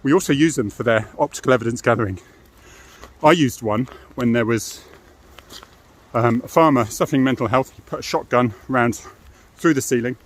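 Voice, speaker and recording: Middle-aged man, British accent, informal explainer, frank delivery, medium pitch, rhetorical tag questions. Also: normal speed. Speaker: former police firearms officer, presenter. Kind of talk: informal explanatory monologue to camera.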